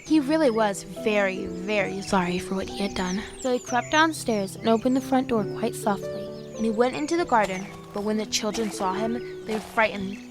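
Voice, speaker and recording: A woman as a gentle storybook reader. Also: mid-range voice, lively intonation, fairly quick, few pauses, close to an everyday voice. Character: young woman reading a fairy tale aloud. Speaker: storyteller within the drama. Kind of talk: audio drama. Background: music.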